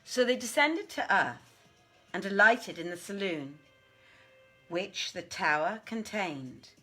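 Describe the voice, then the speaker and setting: A woman reading a classic tale aloud as a dramatic, deliberate and theatrical storyteller. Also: mid-range voice, expressive, a bit bright, faint music behind. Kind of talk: dramatic literary narration.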